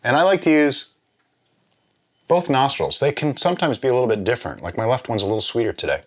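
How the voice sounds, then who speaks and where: A man hosting a drinks review vlog, chatting casually and explaining as he muses aloud, with pauses and little check-ins. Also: mid-range voice, lively intonation. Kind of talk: casual chat, tasting review.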